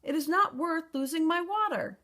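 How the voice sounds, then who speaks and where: A woman's voice: very high voice, expressive, moderate pace, pushed and bright, voice pushed up, exaggerated voice. A woman voicing a big, blustering but easily worried male giant in a storybook, exclaiming and protesting. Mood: alarmed.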